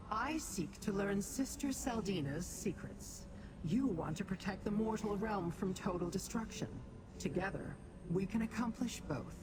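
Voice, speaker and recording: A woman voicing a haughty, calculating demon sorceress in a fantasy video game, formal and condescending in her measured delivery. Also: lowish voice, noisy background, close to an everyday voice. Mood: guarded, persuasive.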